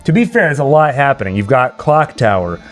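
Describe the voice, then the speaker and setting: A man's voice: medium pitch, very animated, fairly quick. Casual man hosting a livestream, music behind. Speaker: livestream party host and quizmaster. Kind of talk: casual livestream chat, reading chat and running trivia.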